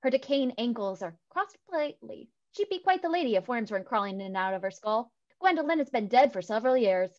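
Young woman narrating, higher voice, dull audio. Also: expressive, fast talker, hardly any pauses. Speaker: stage-direction reader. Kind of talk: scripted narration. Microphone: headset on video call. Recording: dull, lo-fi video-call audio.

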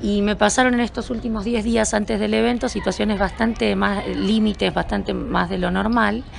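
A woman from Argentina answering interview questions at an event, speaking in a measured, self-observing and wordy way. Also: medium pitch, fairly even intonation, vocal fry, a little hiss or hum. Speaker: event attendee being interviewed.

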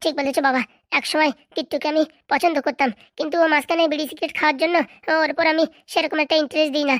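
Woman as a shrill, rapid cartoon young wife. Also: fairly even intonation, fairly bright tone, no room sound, studio quality. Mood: aggrieved. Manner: argumentative, emphatic, complaining. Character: aggrieved, stubborn young newlywed wife, spoiled daughter. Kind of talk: comic cartoon drama dialogue.

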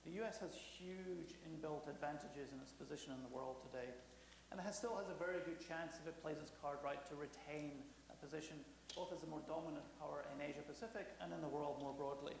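A slightly hoarse man in his forties delivers a lecture at a brisk clip, often restarting his phrases. His somewhat high voice rings through a large echoey hall with a faint hum. It is picked up by a podium mic. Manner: fast, fluent, frequent restarts. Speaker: guest speaker. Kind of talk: public lecture. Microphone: podium microphone.

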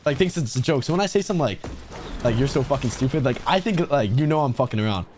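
A man's voice: medium pitch, lively intonation, quick pace, heavy background noise. A young man gaming on stream, brash and rambling, swearing often. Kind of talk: casual voice chat while gaming.

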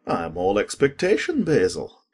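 An actor playing a languid, witty, cynical aristocrat in a dramatic audiobook, with a teasing drawl. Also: male voice, lowish voice, huge pitch swings, normal speed, darkish tone, breathy edge, exaggerated voice. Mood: amused.

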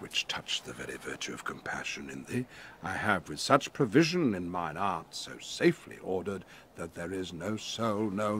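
A man playing a commanding, fatherly exiled duke and magician in a Shakespearean audio drama, emphatic and moving between hushed and loud. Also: low voice, a little hiss or hum. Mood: solemn, tender.

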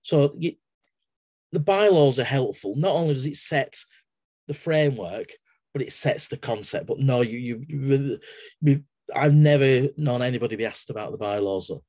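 A middle-aged Englishman explains things to a webinar audience, chatty and digressive, with lots of restarts. His voice sits at an ordinary middle pitch. The sound is close and dry, picked up by a laptop webcam in his home study. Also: moderate pace, dark tone, no echo. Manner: chatty, digressive, with everyday analogies and frequent restarts. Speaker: webinar guest speaker, exam assessor. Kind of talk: casual explanatory talk in a webinar. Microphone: laptop webcam on a video call.

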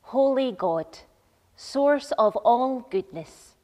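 A young woman leading a church service with measured, deliberate phrasing, speaking in a large church. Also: high-pitched, lively intonation, warm tone. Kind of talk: leading worship, welcome and prayer. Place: large church interior, at the lectern. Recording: long church reverb.

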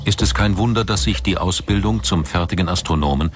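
A man reading scripted documentary narration in a measured, factual manner. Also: low voice. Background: background music.

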